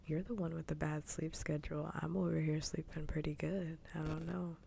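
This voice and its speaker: Woman's soft, creaky ASMR whisper. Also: low voice, flat delivery, normal speed, a little hiss or hum. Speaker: livestream host. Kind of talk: whispered ASMR, casual livestream chat. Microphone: close ASMR microphone.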